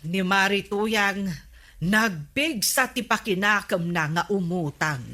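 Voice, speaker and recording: A thrifty, gossipy Ilocano captain's wife in a radio comedy. She talks slowly and disapprovingly in a bright, mid-pitched voice.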